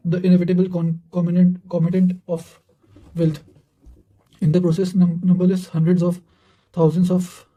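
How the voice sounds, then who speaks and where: A man reading study quotations aloud in a halting way, often stumbling and repeating words. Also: sits high, dark tone, rough recording. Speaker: narrator reading revision notes.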